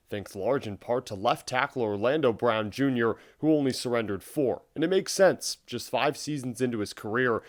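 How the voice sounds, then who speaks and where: A young man delivers scripted sports feature narration as a reporter. His pitch is middling, and the recording is close and dry with very little room sound. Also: dry recording. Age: young adult.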